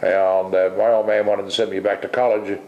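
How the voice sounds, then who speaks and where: An older man from the Netherlands talks through an interview in a low, gravelly voice. He is blunt and salty and rambles with pauses, and his words bounce around an echoey kitchen. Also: little pitch movement, highs cut off. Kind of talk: casual interview. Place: kitchen at home, indoors.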